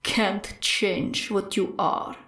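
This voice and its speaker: A woman voicing a cold, contemptuous male villain in an audiobook, taunting quietly. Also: mid-range voice, fairly even intonation, drawn-out words, roomy sound, distant mic. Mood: cold contempt. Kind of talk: audiobook character acting.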